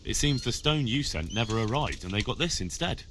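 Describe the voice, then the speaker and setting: A man's voice: medium pitch, animated, a little fast, a bit bright, a little hiss or hum, close to an everyday voice. An actor playing an earnest, inquisitive young medieval man in video game dialogue, polite and plain-spoken. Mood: polite, probing.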